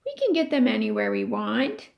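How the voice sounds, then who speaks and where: Woman reading as a sly, high-voiced scheming boy. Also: very animated, moderate pace, gravelly, a little mellow, exaggerated voice. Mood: argumentative. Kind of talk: children's storybook read aloud.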